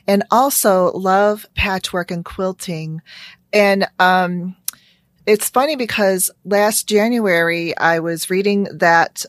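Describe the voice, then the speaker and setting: A woman's voice: fairly low pitch, no echo, clean studio sound. A woman with an American accent hosting a podcast in a casual, conversational style. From suburban Chicago, United States. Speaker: podcast host. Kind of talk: casual interview chat.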